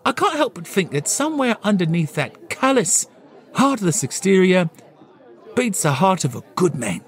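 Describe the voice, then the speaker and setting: A man playing a cheerful, good-natured young gentleman with a hearty, chuckling, animated manner in an audio play. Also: sits high, normal speed, breathy edge, a faint crowd, pitched above the performer's own voice, exaggerated voice. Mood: warm, amused. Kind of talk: theatrical audio play of a classic novel.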